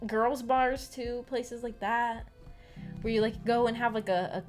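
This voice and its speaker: A woman with a fairly high voice chats casually on a livestream over background music. She rambles in a hesitant, giggly way, often doubling back on her words as she goes. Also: steady tone, unhurried. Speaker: VTuber streamer hosting a visual novel book club.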